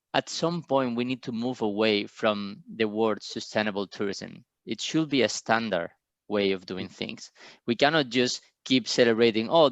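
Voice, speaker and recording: A man's voice: medium pitch, no echo, highs cut off. A man from Spain, speaking passionately on a panel and firing off rhetorical questions. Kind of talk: online panel discussion.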